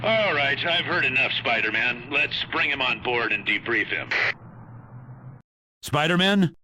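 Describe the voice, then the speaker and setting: An actor playing a serious agency chief in a video game, briefing heroes with formal authority. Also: male voice, medium pitch, animated, unhurried, sharp tone, soft background music, rough recording. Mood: authoritative. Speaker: mission commander.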